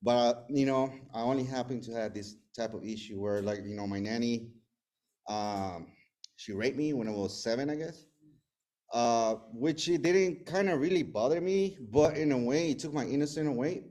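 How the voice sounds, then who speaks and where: A man's voice: medium pitch, normal speed, warm tone. A man in his forties from El Salvador with a Salvadoran Spanish accent and Spanish as his first language, sharing his recovery story at a meeting, nervous and hesitant, with many fillers and restarts. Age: 40s. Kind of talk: personal recovery testimony.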